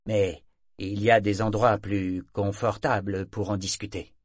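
A man voicing a cautious male character who speaks in a low murmur. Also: flat delivery, unhurried, no echo, clean studio sound. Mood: wary.

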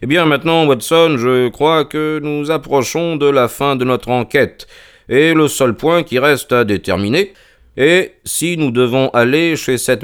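A male narrator plays a shrewd, self-assured storybook detective. He speaks in a smooth, resonant voice, lifted a little and very articulate. His manner is courteous yet commanding and deliberate. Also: medium pitch, voice pushed up, lightly acted. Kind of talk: audiobook character voice.

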